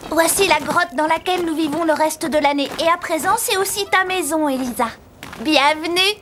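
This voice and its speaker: A woman voicing a young, boyish prince, a caring brother in an animated fairy tale, earnest and expressive. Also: very high voice, normal speed, noisy background. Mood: welcoming.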